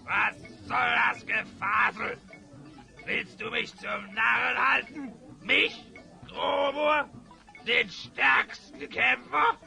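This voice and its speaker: A man's voice: extremely high pitch, steady tone, takes it slowly, creaky, sharp tone, faint music behind, exaggerated voice. An actor playing a boastful, bullying drunken sword fighter in a fantasy audio drama, bellowing his threats. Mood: angry, boastful.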